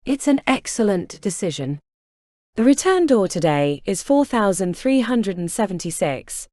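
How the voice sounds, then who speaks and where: A woman playing a calm, businesslike corporate recruiter in an audiobook, speaking smoothly and unbothered. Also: mid-range voice, normal speed, no room sound, clean studio sound, close to an everyday voice. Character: calm female corporate recruiter, coolly casual. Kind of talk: audiobook character reading.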